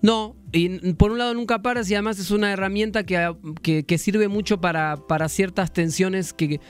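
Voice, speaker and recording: This is a man from Argentina, a musician guest on a radio program, and his voice is smooth and resonant, pitched a little high. He speaks thoughtfully, often restarting phrases as he reaches for the right words. Soft music plays faintly behind him. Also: steady tone, normal speed. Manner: reflective, searching for words with many restarts. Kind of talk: radio interview.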